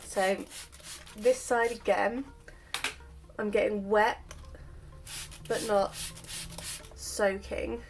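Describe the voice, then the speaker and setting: A woman's voice: medium pitch, steady tone, extremely slow, pauses often, background noise. A woman chatting casually while she demonstrates styling her wavy hair, her voice just recovered from illness. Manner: chatty, self-deprecating, explains while doing. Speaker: hair vlogger. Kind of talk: casual hair tutorial chat.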